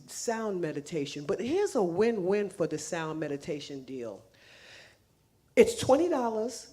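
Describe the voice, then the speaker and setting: A woman's voice: lowish voice, dark tone. A woman making casual announcements to a church congregation, chatty and laughing, with frequent restarts, speaking English as a second language. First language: not English. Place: church sanctuary.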